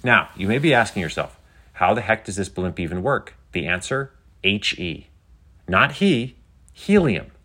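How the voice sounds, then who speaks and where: A man voicing an excitable, geeky schoolgirl who chats brightly to livestream viewers in a children's story. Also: on the low side, unhurried, heavily acted. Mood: chatty, teasing. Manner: bubbly, chatty, addressing viewers. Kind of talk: scripted children's audio story.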